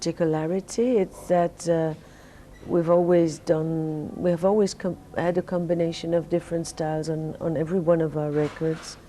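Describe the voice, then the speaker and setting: A Belgian woman whose first language is French, giving relaxed, halting answers in an interview while sleepy and fighting off jet lag. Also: sits low, slight background noise. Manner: relaxed, halting, many restarts and fillers. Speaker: singer, interview guest.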